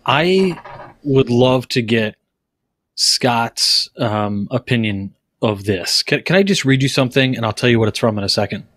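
A man co-hosting a podcast, talking in an enthusiastic, animated way. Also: medium pitch, moderate pace, dry recording. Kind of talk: casual podcast conversation.

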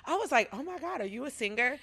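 A woman with a naturally raspy voice, a DJ and guest, telling stories in a laid-back, casual podcast chat. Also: high voice, animated, quick pace, pushed and bright. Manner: laid-back storytelling, lots of "like" and "I was like".

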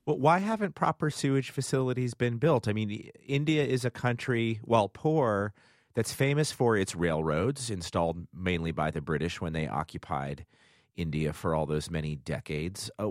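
A man's voice: lowish voice, expressive, normal speed, no room sound, studio quality. A man hosting a news interview, introducing guests and asking probing questions. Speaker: news host.

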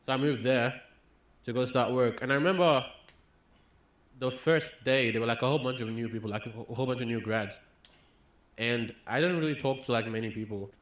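A young man from Australia, calmly telling a story in casual chat, with ums and restarts. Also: medium pitch, a little fast. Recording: roomy echoey room.

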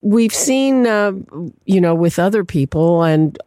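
Female radio host, unhurried, measured questioner, dry close sound. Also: mid-range voice, expressive, mellow, no echo. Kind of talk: radio interview.

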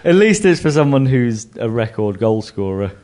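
A man's voice: mid-range voice, sing-song, normal speed, a little mellow. A man with an ordinary mid-range voice chats about football. He keeps slipping in dry, wry remarks. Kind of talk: casual football chat.

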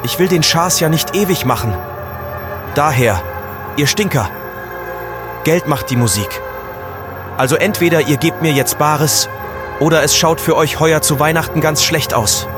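A man's voice: a little high, unhurried, pauses often, natural voice. Man playing brash, greedy Austrian Santa over music. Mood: snide, demanding. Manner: brash, mercenary, vulgar. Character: greedy, rude, money-grubbing Austrian Santa Claus. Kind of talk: comic audio play character.

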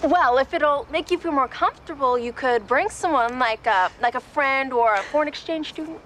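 A woman's voice: sits high, moderate pace, fairly bright tone, slight background noise. An actress playing a chirpy, gushing rich girl in a film clip.

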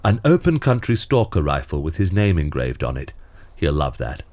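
A man's voice: a bass voice, very animated, normal speed, a little mellow. A man playing a weary, sentimental Santa Claus with a grumpy, mischievous streak and a wistful, dry manner in an audio drama.

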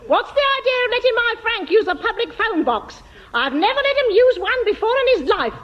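A fussy middle-aged mum in an old radio comedy, indignant and nagging. Her high voice swoops wildly, on a dull lo-fi recording. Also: big pitch swings, a little fast. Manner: scolding, nagging. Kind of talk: radio sitcom acting.

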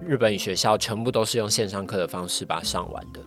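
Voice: low voice, fast. A podcast guest with a Taiwanese Mandarin accent, telling personal stories casually in hesitant, self-correcting phrases. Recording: voice over background music. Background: background music.

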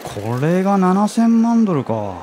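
An actor playing a gossipy, knowing observer of shady dealings in a radio drama, casual in manner. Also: male voice, high-pitched, sing-song, a little slow, noisy background. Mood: ominous gossip. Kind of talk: Japanese radio drama acting.

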